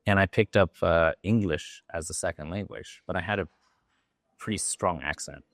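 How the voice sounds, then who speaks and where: Japanese guy, american accent, real low voice, dry close mic, talks loose with lots of likes. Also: normal speed, no room sound. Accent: American, learned from an acting coach. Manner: loose and talkative, fillers and restarts. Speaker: guest. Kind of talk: podcast interview.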